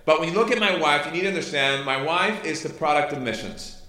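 A middle-aged man from Chile with a Chilean Spanish accent, a native Spanish speaker, giving an informal sermon and testimony as a missionary pastor, halting and correcting himself as he goes. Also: on the high side, pushed and bright. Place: church hall during a service. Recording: echoey room sound.

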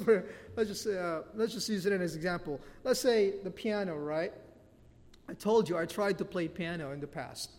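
A middle-aged man with a Filipino accent preaching a sermon as pastor, animated and laughing often between points. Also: fairly high pitch. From Philippines. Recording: long hall reverb.

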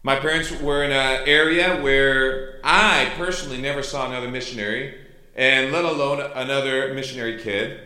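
A middle-aged man from Chile with a Chilean Spanish accent, a native Spanish speaker, giving an informal sermon and testimony as a missionary pastor, halting and correcting himself as he goes. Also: mid-range voice, sharp tone. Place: church hall during a service. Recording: echoey room sound.

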